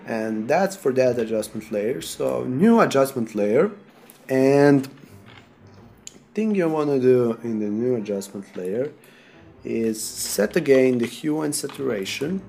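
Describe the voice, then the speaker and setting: A man from Serbia with a Serbian accent, sick, talking through a software tutorial in halting non-native English while he clicks. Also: mid-range voice, expressive, vocal fry, slight background noise. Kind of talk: screen-recorded software tutorial.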